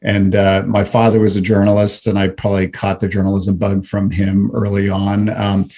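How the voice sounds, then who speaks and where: A middle-aged man from Canada speaks in a low, gravelly voice. He answers interview questions in a thoughtful way, often restarting his sentences with fillers. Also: completely flat delivery, moderate pace, mellow. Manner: reflective, many fillers and restarts. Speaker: guest. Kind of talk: conversational interview.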